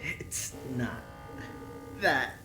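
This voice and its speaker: An actress playing a treacherous captive doctor in an audio drama, halting, strained and sardonic. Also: female voice. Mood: weary, sardonic. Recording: roomy, music underneath.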